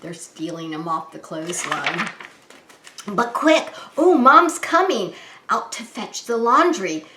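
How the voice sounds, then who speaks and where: A woman preschool teacher reading a children's story aloud in an animated, sing-song manner, talking to small children. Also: medium pitch, slow, a little hiss or hum. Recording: echoey room.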